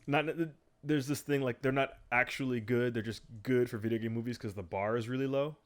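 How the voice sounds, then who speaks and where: A man's voice: mid-range voice, fairly even intonation, a little fast. A man hosting a casual podcast chat, rambling and joking as he introduces topics. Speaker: podcast host.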